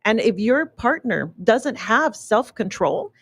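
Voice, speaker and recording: A woman's voice: on the high side, big pitch swings, slow pace, fairly bright tone, slightly rough, no room sound. Outspoken woman guest on talk radio. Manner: earnest, outspoken, preachy. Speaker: radio show guest, author and business owner. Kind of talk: casual radio interview. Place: radio studio.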